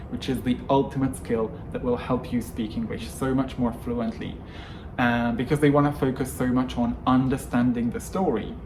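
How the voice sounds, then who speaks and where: A man's voice: mid-range voice, darkish tone, a little hiss or hum, low-quality recording. A man whose first language is Hungarian, talking as an English coach in a scripted video lesson, emphatic and stressing words in lists. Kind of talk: scripted YouTube lesson monologue.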